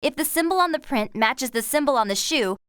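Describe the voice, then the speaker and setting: A woman's voice: sits high, lively intonation, fairly quick, fairly bright tone, dry recording, clean studio sound. An actress playing a friendly young woman who gives supportive instructions in a horse-riding video game. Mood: supportive, instructive. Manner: clear instructional delivery, supportive.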